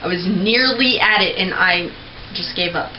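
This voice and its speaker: A young woman giving a casual, rambling tutorial on screaming technique, clearing her throat often. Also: fairly low pitch, moderate pace. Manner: chatty, rambling, with many filler words and throat clearing. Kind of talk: casual home tutorial video. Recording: dull lo-fi home recording with faint noise.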